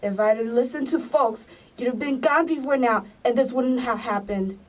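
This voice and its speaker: A woman's voice: fairly high pitch, normal speed, close to an everyday voice. Woman acting a bitter scolding wife, distant sound. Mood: bitter reproach. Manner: scolding, reproachful. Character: bitter, accusing wife, stern and aggrieved. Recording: dull, distant microphone.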